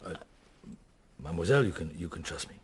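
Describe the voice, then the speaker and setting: An actor in a radio drama playing a shrewd, avuncular middle-aged detective inspector who speaks in a courteous, ruminative, hesitant way. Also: male voice, deep voice, animated, fast, husky, heavily acted. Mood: gentle, reassuring.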